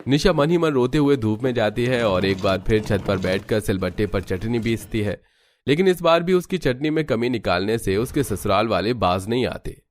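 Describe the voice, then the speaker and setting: A man reading scripted narration for a Hindi animated story as its narrator. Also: lowish voice, normal speed.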